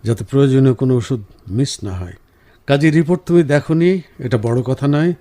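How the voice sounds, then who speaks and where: A man playing a caring, reassuring husband in a radio drama, speaking in an earnest, measured way. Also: medium pitch, warm tone, no echo, natural voice. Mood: reassuring, firm. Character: caring, reassuring husband, senior professional, firm but gentle. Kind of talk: Bengali radio drama acting.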